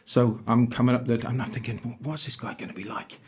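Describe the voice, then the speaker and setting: A man's voice: mid-range voice, fairly even intonation, quick pace, darkish tone, husky. A man hosting a podcast, chatting casually and often stumbling and repeating his words. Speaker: podcast host. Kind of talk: casual interview chat.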